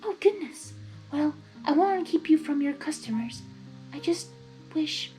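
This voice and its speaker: A young female pony, timid and sweet-tempered. The voice sits extremely high and soft, with hesitant, pleading phrasing. Faint music plays underneath. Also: expressive, measured pace, rough recording. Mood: polite, wistful.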